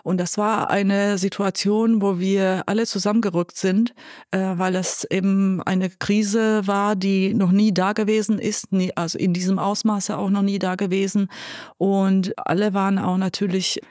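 A woman in her fifties from Croatia with a Croatian accent, answering interview questions thoughtfully with many pauses and fillers. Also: medium pitch, flat delivery, darkish tone, dry recording, studio quality. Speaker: guest, nursing director.

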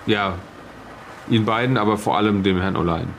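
A man's voice: fairly low pitch, fairly quick, gravelly, slight background noise. A man playing a suspicious, flustered naval officer in an improvised audio drama, rambling and stammering officiously. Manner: rambling, stammering, officious, tagging sentences with questions.

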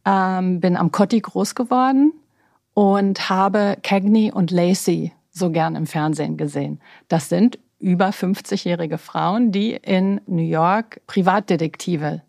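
Woman, fifties, gravelly, low voice, dry close sound, relaxed interview guest. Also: unhurried, no room sound. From Berlin, Germany. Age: 50s. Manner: easygoing, laughs while talking, many fillers. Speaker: interview guest, personal health coach.

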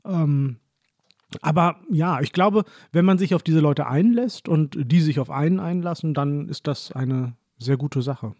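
A man's voice: a little high, unhurried, darkish tone, no room sound, studio quality. A man from northern Germany, a guest chatting casually and thoughtfully in an interview, playing along with jokes.

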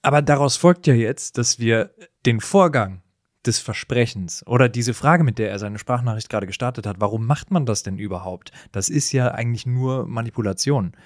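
A man's voice: mid-range voice, normal speed, no echo, clean studio sound. A man co-hosting a casual, comedic discussion, with a teasing, bantering manner. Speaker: podcast co-host.

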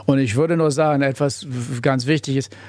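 An American man talking in German, his second language, with an accent, pausing and restarting as he hunts for words. The sound is dry and close, with no room around it. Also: medium pitch, fairly even intonation, a little mellow, no room sound. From United States. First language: English. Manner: halting non-native German, searching for words, many restarts. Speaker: human rights investigator. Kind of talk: interview conversation.

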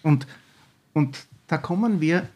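A man's voice: fairly high pitch, slow pace, warm tone. An older man with an Austrian accent, a guest chef talking enthusiastically in a podcast chat, with many restarts.

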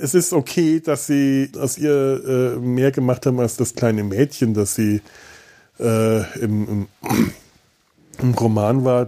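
A man with a husky voice giving rambling, casual film commentary as a podcast host, opinionated and given to exasperated asides. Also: medium pitch, a little mellow.

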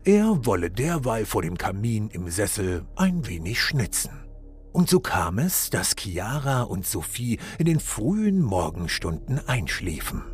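A man playing a solemn fairy-tale storyteller, giving scripted narration in a dramatic, mock-solemn, measured manner. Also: on the low side, big pitch swings, soft background music. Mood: hushed, storytelling.

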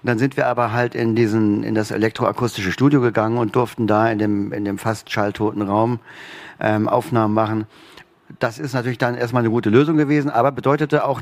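A man's voice: lowish voice, dry recording. A man leading a radio drama workshop, talking on a festival panel, hesitant with many fillers. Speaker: workshop leader, sound engineer. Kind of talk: panel discussion.

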